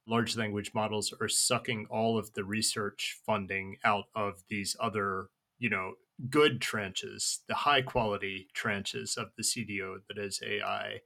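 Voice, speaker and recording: A man hosting a podcast, speaking earnestly and steering the conversation gently. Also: on the low side, gravelly. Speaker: podcast host.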